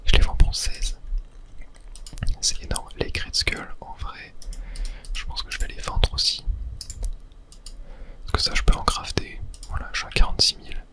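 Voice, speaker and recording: Casting: whisperer for ASMR gaming, crisp bright whisper, unhurried murmured play-by-play. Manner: soft whispering throughout, relaxed murmured thinking aloud. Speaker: video game player and host. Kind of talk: whispered ASMR gameplay commentary.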